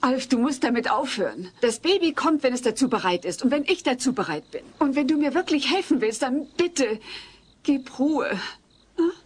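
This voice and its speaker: A woman's voice: high-pitched, lively intonation, normal speed, breathy edge. A woman playing a weary, exasperated pregnant wife and mother in a comedy audio drama. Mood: annoyed.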